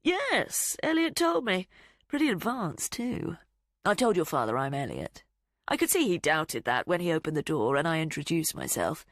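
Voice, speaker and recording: Mocking, unhurried villain man with lively swings in pitch , voiced by a woman narrator. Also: dry recording, clean studio sound. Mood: taunting. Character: menacing smooth male villain, calm and taunting.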